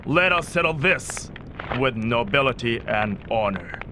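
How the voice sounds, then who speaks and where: An actor playing a noble, honorable master swordsman in a video game, formal and halting. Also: mid-range voice, animated, background noise, close to an everyday voice. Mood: solemn. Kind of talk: video game cutscene acting.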